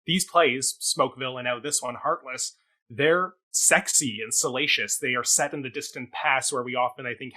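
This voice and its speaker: A man's voice: medium pitch, bright, forceful tone, low-quality recording. A man co-hosting a theatre review show, chatting casually in articulate, wordy phrases.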